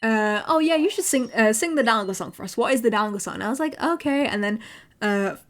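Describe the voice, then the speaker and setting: A young woman with an English accent, chatting casually on a livestream, often repeating words and filling pauses. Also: on the high side, lively intonation. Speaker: VTuber streamer.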